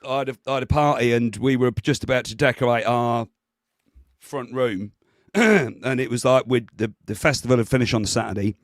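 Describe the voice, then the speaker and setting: A man chats over a video call in a loose, rambling way, laughing as he goes. His voice is still a little rough and he keeps clearing his throat. The sound is very dry, with no room in it. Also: medium pitch, normal speed, no room sound. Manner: rambling, laughs often, restarts sentences. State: voice recovering, clears throat often. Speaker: guest with local knowledge. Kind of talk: casual chat on a video call.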